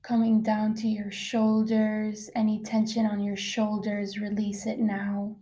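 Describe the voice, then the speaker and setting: A woman guiding a meditation, soft-spoken and unhurried, leaving long pauses between soothing phrases. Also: medium pitch, completely flat delivery. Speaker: meditation guide. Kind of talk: guided meditation, slow soothing narration.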